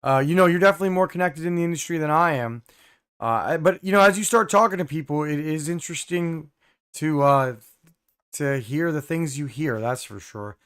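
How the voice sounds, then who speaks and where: Hoarse young man chatting on a stream. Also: a little high, brisk, a bit bright. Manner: rambling, many fillers, throat clearing. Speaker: live stream host. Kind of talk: casual live stream chat, reading viewer comments.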